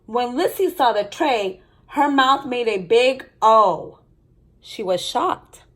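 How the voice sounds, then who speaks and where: Female storybook reader, fairly high voice, expressive delivery for young listeners. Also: slow pace. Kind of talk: children's story read-aloud with brief commentary.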